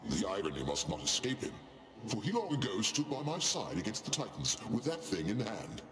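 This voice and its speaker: A grand older king of the gods in a video game, stately and commanding. The echoing voice is fairly low and swings widely as he declaims, with music behind. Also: wildly swooping pitch, brisk, a bit bright.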